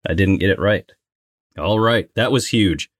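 A man's voice: lowish voice, a little slow, dry recording, studio quality. A man co-hosting a casual trivia game, talking in a playful, exclamatory way. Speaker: co-host of a trivia game podcast.

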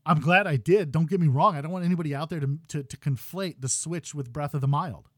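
A man in his thirties hosting a gaming podcast, ranting loudly with exasperation while losing his voice. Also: a little high, fast talker, dry recording, clean studio sound. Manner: loud exasperated ranting, swings to quiet muttering. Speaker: podcast host.

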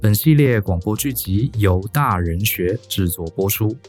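Low-voiced Taiwanese male announcer over music. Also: animated, unhurried, a little mellow. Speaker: series announcer. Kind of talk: scripted narration.

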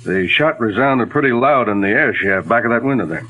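An actor playing a plain-spoken, cooperative police captain in a 1940s radio detective drama. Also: male voice, medium pitch, expressive, fairly quick, bright, forceful tone, low-quality recording. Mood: businesslike. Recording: old 1940s radio recording.

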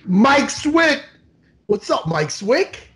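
A man in his forties hosting a casual video-call interview, loud and joking, teasing his guest. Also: very high-pitched, very animated, extremely slow. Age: 40s. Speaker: host.

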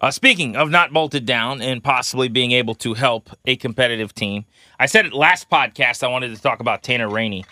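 Male podcast co-host for conversational sports analysis, mid-pitched, crisp bright tone, explains methodically. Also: fairly quick, no room sound, studio quality.

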